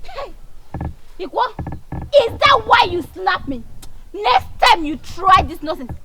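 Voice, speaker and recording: An actress playing an angry young woman who loudly confronts her friend. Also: extremely high pitch, noisy background. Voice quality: loud, confrontational.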